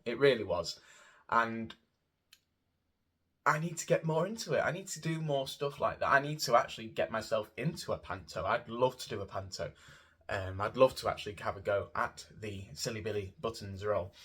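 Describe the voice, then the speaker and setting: A man's voice: medium pitch, fairly bright tone, husky. Guy vlogging a theatre review, chatty and gushy, rambles a bit, repeats himself for emphasis. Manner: gushing, rambling, emphatic repetition. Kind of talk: casual spoken review to camera.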